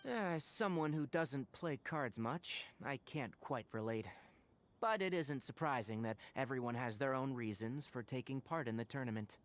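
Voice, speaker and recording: A man's voice: mid-range voice, animated, normal speed, a little hiss or hum, close to an everyday voice. A man voicing a sensible young scholar in a video game, composed and articulate with a wry, dry edge. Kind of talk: anime-style video game voice acting.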